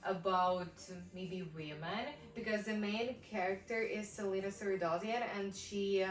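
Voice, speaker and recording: A young woman with a Ukrainian accent, chatting casually and thoughtfully, pausing with many fillers. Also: medium pitch, fairly even intonation, reverberant, distant mic, poor audio. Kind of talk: casual chat.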